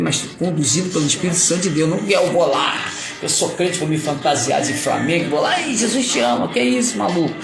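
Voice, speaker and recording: A man's voice: fairly high pitch, creaky. A man from Rio de Janeiro with a Carioca accent, preaching a rambling, emphatic sermon with playful asides. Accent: Carioca Portuguese. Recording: echoey room with music behind.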